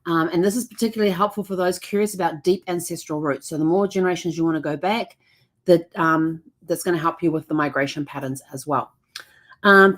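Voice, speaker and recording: A woman hosting a genealogy show, explaining things casually with frequent ums, restarts and self-corrections. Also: on the low side.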